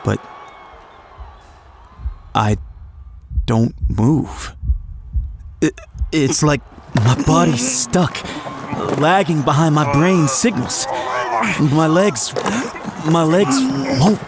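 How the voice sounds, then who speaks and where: A man's voice: fairly high pitch, lively intonation, very slow, long pauses, music in the background, heavily acted. A man acting as a young college quarterback who narrates an audio drama in an earnest, emotive first person. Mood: tense, panicked. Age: young adult. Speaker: narrator and lead character.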